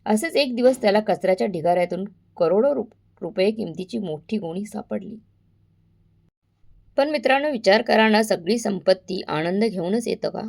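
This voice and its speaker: A woman's voice: medium pitch, moderate pace, creaky, a little mellow. A woman narrating a scripted story in a dramatic, suspenseful way, addressing listeners directly.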